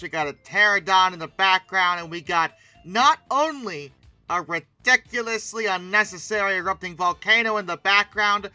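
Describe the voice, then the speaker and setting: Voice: mid-range voice, sharp tone, smooth, dry recording. A commentator talking casually off the cuff about pictures, with a theatrical, mock-dramatic way of emphasizing things. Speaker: host of a paleoart commentary channel.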